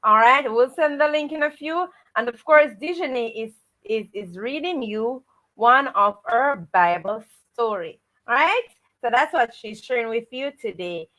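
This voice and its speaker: A woman's voice: on the high side, expressive, measured pace, sharp tone, low-quality recording. A woman co-hosting a children's livestream, greeting viewers and often repeating set welcoming phrases.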